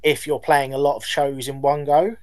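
A man, a band's lead singer, answering interview questions in a measured, polite way with some hesitations. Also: fairly high pitch, steady tone. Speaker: band lead singer, guest.

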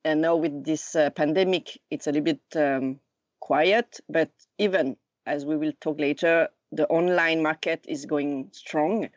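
Podcast guest: a woman in her thirties with a Swiss French accent, low voice, halting, non-native phrasing, on a dull line. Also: fairly even intonation, dry recording. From Lausanne, Switzerland. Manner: halting, searching for words, many fillers. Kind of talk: casual conversation. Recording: dull, dry remote recording.

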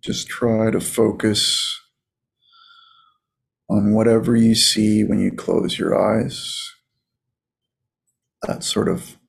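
A man with a lowish, husky voice leads a guided meditation. He talks very slowly and casually, leaving space between short phrases in a soothing, unhurried way. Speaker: meditation coach.

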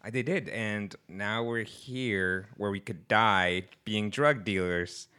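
A man's voice: on the low side, takes it slowly, bright, forceful tone, clean tone. A man playing a nervous, stammering married guy in over his head in an improvised comedy radio play. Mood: worried, complaining. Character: anxious flea-ridden married guy, reluctant drug dealer.

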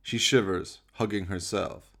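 A man's voice: low-pitched, steady tone, fairly bright tone. Man narrating a horror radio play. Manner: theatrical, deliberate storytelling. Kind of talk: scripted dramatic horror narration.

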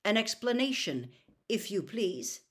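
A woman's voice: mid-range voice, sing-song, a little slow, a bit bright. Woman as stern, barking wizard official. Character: stern, gruff, accusing male government wizard.

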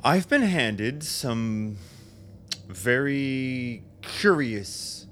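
A man acting a forceful political chairman in a radio drama, speaking formally and deliberately. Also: mid-range voice, lively intonation. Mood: measured, probing. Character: forceful, strong-willed male political chairman.

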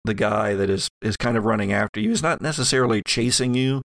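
Creaky-voiced man as game master. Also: fairly low pitch, fairly bright tone, no room sound, studio quality. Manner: halting, thinking aloud as they narrate. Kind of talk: improvised narration of a tabletop horror game.

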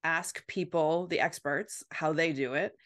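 A woman answering as a podcast guest in casual, reflective chat, halting and then quickening. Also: low-pitched, steady tone, a bit bright.

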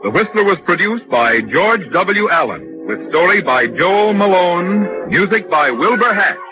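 A male 1940s radio announcer with a slightly high, animated voice. He reads formally and emphatically over clear music. The recording is old and muffled. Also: measured pace.

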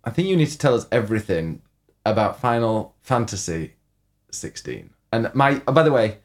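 A man co-hosts a casual podcast, his voice a little hoarse from coughing. He rambles and teases, often breaking off mid-sentence, and his pitch rises and falls a lot. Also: big pitch swings, normal speed. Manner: teasing, rambling, self-interrupting. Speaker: podcast co-host. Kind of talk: casual chat.

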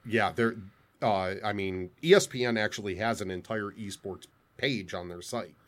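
A man's voice: lowish voice, moderate pace, a bit bright. A man chatting casually on a gaming podcast, eager and restarting his words. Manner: enthusiastic, repeats words when starting.

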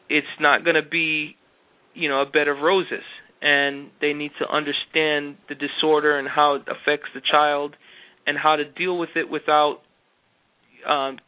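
A man answering interview questions earnestly, with frequent fillers and restarts. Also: fairly high pitch. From Jamaica. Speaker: interview guest. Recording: tinny phone-like sound.

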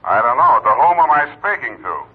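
A man's voice: mid-range voice, sing-song, brisk, husky. An actor playing a hard-boiled male private eye who talks in a dry, sardonic, clipped way, in a 1940s radio drama. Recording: tinny old radio broadcast.

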